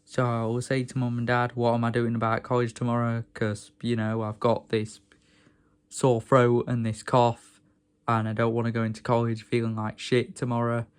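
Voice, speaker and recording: A young man from England, hoarse from a sore throat, rambling and grumbling in a casual vlog rant. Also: mid-range voice, completely flat delivery, moderate pace. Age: young adult. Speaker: vlogger.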